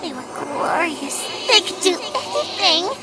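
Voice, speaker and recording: A woman's voice: very high-pitched, expressive, measured pace, pitched above the performer's own voice, poor audio. A woman voicing a manic monstrous candy mare in a tiny, wistful, sinister voice for a horror story. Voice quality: tiny, breathy, childlike. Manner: wistful, sinister, clipping each word. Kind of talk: horror fan fiction reading. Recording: loud music behind. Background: loud music.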